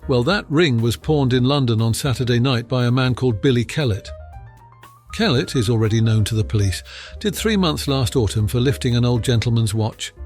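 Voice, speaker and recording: A man's voice: medium pitch, fairly quick, a little mellow, faint music behind, voice pushed up, close to an everyday voice. A man voicing a blunt, confident Scotland Yard inspector in an audiobook, speaking in a matter-of-fact, colloquial way. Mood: brisk, confident. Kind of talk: acted audiobook dialogue.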